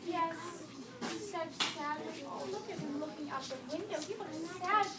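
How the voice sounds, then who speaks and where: A woman teacher reading a picture book aloud to young children, expressive, pausing to explain words and ask questions. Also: very high-pitched, a loud crowd. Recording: echoey noisy room from far off.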